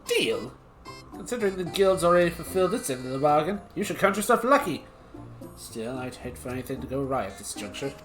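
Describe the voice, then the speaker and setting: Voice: medium pitch, sing-song, fairly quick, a bit bright, faint music behind, low-quality recording. A streamer voicing a prim, haughty guild weaver who speaks in a formal, dry, condescending way. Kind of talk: reading game dialogue aloud on stream.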